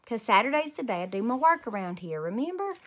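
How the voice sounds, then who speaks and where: A woman's voice: a little high, big pitch swings, quick pace, a bit bright, voice pushed up. A woman voicing a playful, conspiratorial grandfather in a storybook, in a teasing, folksy way.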